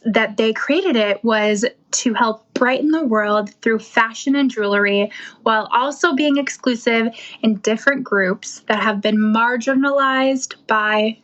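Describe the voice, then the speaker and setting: A woman's voice: on the high side, fairly even intonation, a little slow, fairly bright tone, dull audio. A woman host opening a live show over a video call, chatty and a bit flustered. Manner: chatty, apologetic about fumbling. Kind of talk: show intro.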